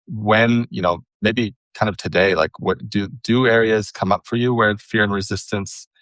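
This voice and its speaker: A man's voice: lowish voice, fairly even intonation, moderate pace, a bit bright, no echo, rough recording. A man hosting an interview, asking long, hesitant questions padded with fillers. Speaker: podcast host.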